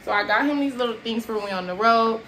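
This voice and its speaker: A woman's voice: a little high, quick pace. Chatty young woman in an echoey kitchen. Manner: chatty, addresses viewers as y'all, moving around while unpacking bags. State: tired and sweaty. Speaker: vlogger showing a grocery haul. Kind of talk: casual chat to viewers. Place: small apartment kitchen, indoors. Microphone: camera at a distance across the room. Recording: echoey kitchen with background noise.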